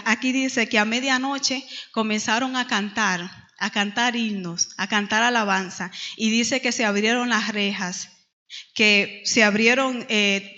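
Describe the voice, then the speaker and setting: A woman's voice: on the high side, pushed and bright. A middle-aged woman from the Dominican Republic with a Dominican accent, teaching a Bible class in church and retelling stories with frequent hesitations. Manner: storytelling with many hesitations. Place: church hall. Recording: dull lo-fi church recording with long reverb.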